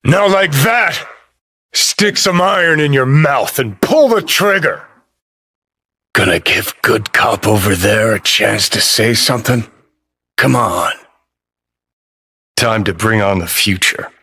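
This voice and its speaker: Game role: cynical rebel rocker, mid-pitched, unhurried, sardonic, foul-mouthed, taunting. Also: male voice, expressive, bright, forceful tone, breathy edge, heavily acted. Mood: bitter, menacing, then cocky, determined. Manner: sardonic, profane, taunting drawl. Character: cynical, brash, rebellious rocker terrorist, adult man. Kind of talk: video game voice acting.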